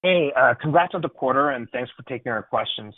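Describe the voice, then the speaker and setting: A man's voice: medium pitch, animated, fairly quick, no echo. A man calling in as an analyst, putting a question in an informal, conversational way. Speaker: analyst caller asking a question. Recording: dry, narrow telephone line.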